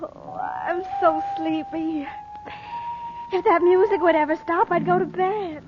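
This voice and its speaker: A very high, girlish voice comes through a loud bed of noise on an old radio transcription. a teenage daughter in a 1940s adventure serial, in the period acting style. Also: fairly even intonation, measured pace, muffled recording. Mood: sleepy.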